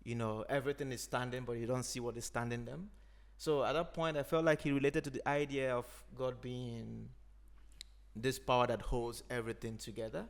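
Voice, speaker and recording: A man from Nigeria, an Igbo speaker, talking in a thoughtful, unhurried way as a podcast host. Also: medium pitch, flat delivery, vocal fry. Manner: thoughtful, unhurried, frequent "you know". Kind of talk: reflective conversation.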